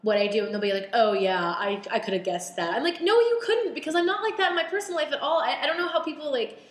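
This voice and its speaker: A woman's voice: high voice, animated. Chatty young woman in a roomy space. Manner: chatty, halting, many fillers and restarts. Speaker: podcast guest. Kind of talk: candid podcast conversation. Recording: roomy echo.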